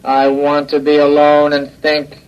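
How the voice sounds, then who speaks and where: Man playing a flat, slow monotone robot. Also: a little high, smooth, highs cut off, lightly acted. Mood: naively curious. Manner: flat, measured monotone delivery. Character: slow, obedient, childlike iron robot henchman, naively curious. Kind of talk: old-time radio drama acting.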